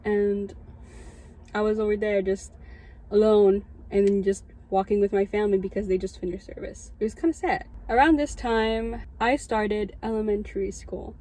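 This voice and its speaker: A woman in her early twenties speaks with a Californian American accent. Her voice is soft-edged and mellow, at an average pitch. She tells her own story informally, like a student addressing her peers, with conversational asides. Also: fairly even intonation, normal speed, poor audio. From Southern California, United States. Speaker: student chaplain giving a testimony. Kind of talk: casual personal storytelling to an audience.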